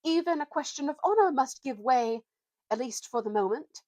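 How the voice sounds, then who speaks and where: Woman putting on a shrill, gallant little mouse knight. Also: lively intonation, normal speed, darkish tone, dry recording. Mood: stiffly polite. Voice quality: shrill, piping. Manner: formal, ceremonious, clipped indignation. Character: small gallant male talking mouse, proud and courtly.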